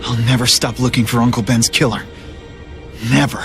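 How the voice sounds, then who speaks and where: A man's voice: medium pitch, steady tone, fairly quick, music in the background, natural voice. An actor playing a young male masked hero in a game, narrating and trading wry quips. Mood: solemn. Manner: wry, quipping. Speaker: narrator and lead character.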